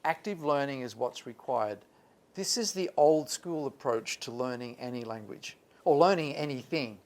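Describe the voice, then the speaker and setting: A man's voice: medium pitch, lively intonation, moderate pace, a little mellow. A male lecturer giving a live workshop talk to students, emphatic and repeating points for stress. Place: lecture room.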